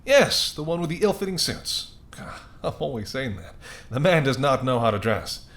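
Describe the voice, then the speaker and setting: A man's voice: medium pitch, big pitch swings, normal speed. A man playing an apologetic, wry and courteous male mafia boss in an audio roleplay, speaking conversationally and sardonically straight to the listener. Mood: wry, indignant. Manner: conversational, sardonic, exasperated, softening into polite charm.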